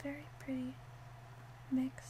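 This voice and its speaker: A woman playing a gentle, attentive witch in a soft, unhurried whispered ASMR roleplay. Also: high-pitched, little pitch movement, noisy background. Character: gentle, attentive witch mixing love potions.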